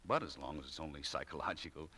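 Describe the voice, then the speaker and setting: An American actor playing a polite, awkward shop manager in 1940s radio drama, hesitant and stammering, on an old recording. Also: male voice, rumbling low, quick pace, pushed and bright, slight background noise. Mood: polite concern. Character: mild-mannered, awkward male shop manager visiting an ailing employee.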